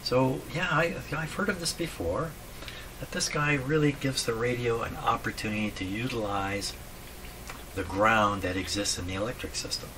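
A man's voice: medium pitch, normal speed, noisy background. A man explains things casually at a workbench, musing aloud and asking himself questions. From Canada. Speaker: host, radio repair hobbyist. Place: small cluttered indoor electronics workshop.

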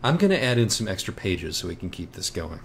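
A man's voice: deep voice, big pitch swings, quick pace, slight background noise. A man performing a cocky, sardonic, argumentative young man in audiobook dialogue. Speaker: audiobook reader.

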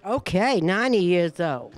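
A woman's voice: lowish voice, sing-song, unhurried. An older woman hosting a birthday gathering at a senior center, folksy and affectionate, speaking into a handheld mic in a large community room. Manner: folksy, calls people honey. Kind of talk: casual emcee chat.

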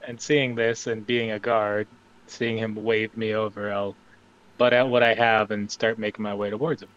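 A man playing a tabletop game, relaxed, describing his character's actions. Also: on the low side, normal speed, clear, resonant tone, lo-fi sound. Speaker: player.

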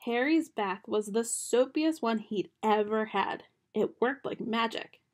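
A young woman reading a children's picture book aloud at home in a gentle storytime manner, recorded on a close webcam in a small bedroom. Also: fairly high pitch, a little slow.

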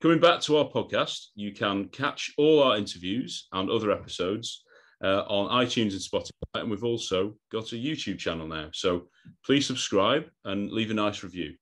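A man's voice: lowish voice, moderate pace, a bit bright. A man in his thirties hosting a podcast interview on a video call, eager, often repeating words and laughing between lines. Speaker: podcast host.

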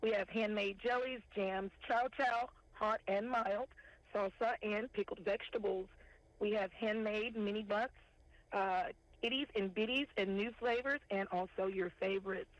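A woman, a farmers market director calling in to a morning news show and listing products in a steady, unhurried way. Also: mid-range voice. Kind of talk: phone interview, listing products. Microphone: telephone. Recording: tinny phone line.

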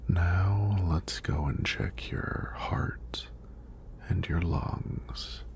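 A man playing a gentle, flirty EMT in a soothing, soft-spoken ASMR roleplay, breathy and deep, with reassuring praise. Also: lively intonation, very slow, exaggerated voice. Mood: soothing, gently flirty. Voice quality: deep, gravelly, breathy near-whisper. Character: gentle, flirty hunky male EMT examiner.

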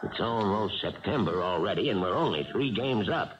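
An actor playing a crafty, middle-aged American baseball manager in a radio drama, folksy and coaxing. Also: male voice, on the low side, lively intonation, moderate pace, close to an everyday voice. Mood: urging. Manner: folksy, persuasive. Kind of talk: 1970s radio drama acting. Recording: dull, muffled old radio recording.

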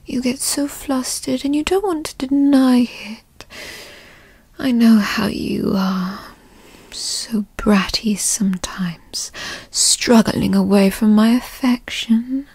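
Woman acting as a possessive mommy girlfriend, breathy whispers, very slow drawl, doting and teasing, ASMR roleplay. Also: on the high side, darkish tone, dry recording, exaggerated voice. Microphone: close microphone, dry.

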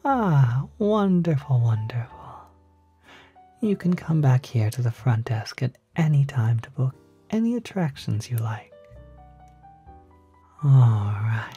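An ASMR performer of unclear sex playing a courteous demon hotel concierge, speaking softly and politely, close to the microphone, often near a whisper. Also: medium pitch, big pitch swings, lo-fi sound, soft background music. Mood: pleased, courteous. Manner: polite, unhurried, gently salesmanlike. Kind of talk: ASMR roleplay.

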